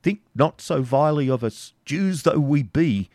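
A man's voice: on the high side, lively intonation, unhurried, a little mellow, no room sound, clean studio sound, pitched above the performer's own voice. A man voicing a frail, desperate old father who pleads passionately for his daughter. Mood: pleading. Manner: impassioned, imploring.

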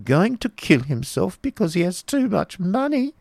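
A man's voice: sing-song, moderate pace, creaky, no echo, studio quality. A man voicing a frank, blustery baron in a storybook reading, with an exclamatory, emphatic delivery. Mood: tickled, amused. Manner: exclamatory, emphatic, jumping up in pitch.

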